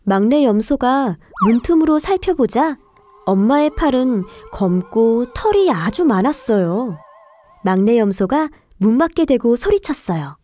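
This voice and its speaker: A woman narrating a children's fairy tale, telling it clearly for young listeners. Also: on the high side, very animated, measured pace, dark tone. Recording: faint music behind the voice.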